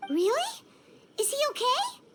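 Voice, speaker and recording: A squeaky tiny fairy companion. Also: female voice, wildly swooping pitch, noisy background, heavily acted. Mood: curious. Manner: squeaky, exclaiming, quick to protest. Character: tiny floating fairy companion, excitable, blunt. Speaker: video game character.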